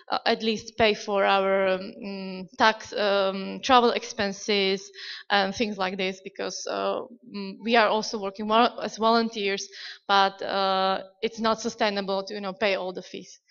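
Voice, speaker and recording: A woman on a conference panel who speaks haltingly with many fillers. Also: mid-range voice, little pitch movement, a bit bright, clear, resonant tone. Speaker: panelist from a women's group. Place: conference hall. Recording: dull, reverberant hall recording.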